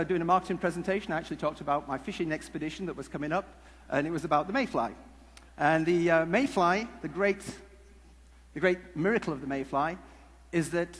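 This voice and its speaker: A man giving a humorous conference talk, telling stories in a jokey, halting, rambling way. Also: on the high side. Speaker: conference speaker. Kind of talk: humorous stage presentation with storytelling. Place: large conference hall before an audience. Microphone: headset mic. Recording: echoey hall PA with background noise.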